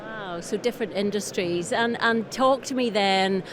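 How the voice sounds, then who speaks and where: A woman's voice: mid-range voice, normal speed, rough recording. A woman interviewing a guest conversationally at a trade show. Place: busy exhibition hall. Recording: busy trade show crowd noise.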